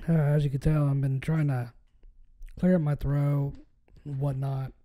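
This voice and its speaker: A man hosting his own podcast, hoarse and a little raspy after being sick, rambling casually with lots of fillers. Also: a little high, expressive, takes it slowly, muffled recording. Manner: rambling with many fillers and restarts. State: hoarse from a recent illness. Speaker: podcast host. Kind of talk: casual solo podcast talk.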